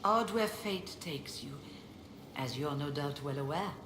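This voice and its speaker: A weary, dignified fantasy mage leader from a video game. The voice sits somewhat low and speaks formally and reflectively. The capture is echoey with clear noise behind it. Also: female voice, expressive, measured pace. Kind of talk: video game dialogue acting. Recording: roomy echo, clearly noisy game capture.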